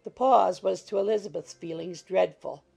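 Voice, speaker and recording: A woman narrates literary fiction in a heavily creaky, soft-toned voice. It is husky and measured as storytelling, a scripted audiobook read. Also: lowish voice, faint music behind.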